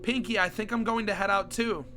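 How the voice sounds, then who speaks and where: A man with a hoarse voice from a cold, reading aloud the lines of a studious, caring young female pony in a comic. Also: sits high, steady tone, fairly quick, sharp tone, faint music behind.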